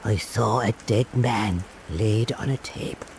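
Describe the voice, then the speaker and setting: A man playing an old island storyteller, telling eerie folk tales in a deliberate, pausing way. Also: lowish voice, gravelly, a little mellow, slight background noise. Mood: hushed, suspenseful. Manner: slow, deliberate oral storytelling with pauses. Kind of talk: dramatic folk-tale narration.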